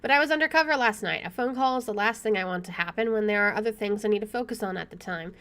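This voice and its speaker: A woman's voice: medium pitch, quick pace, fairly bright tone, smooth. A woman hosting a gaming video, expressively reading story text aloud and adding casual asides.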